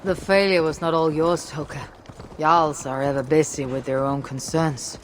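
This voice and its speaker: An actress playing a resolute, seasoned Viking raider in a video game, with a low, husky voice and a measured, commanding manner. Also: female voice, fairly even intonation, slight background noise, lightly acted. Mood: grave. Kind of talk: video game cutscene acting. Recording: loud cinematic score behind.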